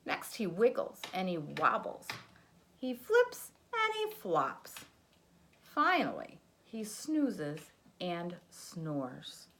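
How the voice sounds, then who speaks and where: Female teacher reading to kids; unhurried, bright, very expressive, plays up the sound effects. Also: lowish voice. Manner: animated, sound effects, asks listeners questions. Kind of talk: children's read-aloud.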